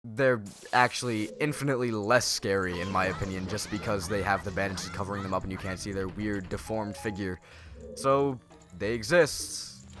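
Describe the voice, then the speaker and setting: Young man, nasal from a cold, bright forceful voice, rambling sarcastic game commentary, faint music behind. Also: medium pitch. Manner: rambling and sarcastic, self-correcting. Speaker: let's play host. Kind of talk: casual gameplay commentary.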